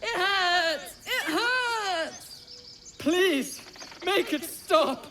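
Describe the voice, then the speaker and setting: A woman voicing a small talking squirrel in a fantasy video game, pained and pleading in drawn-out wails. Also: extremely high pitch, lively intonation, bright, forceful tone, slight background noise, full character voice. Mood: anguished pleading. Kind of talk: video game creature dialogue.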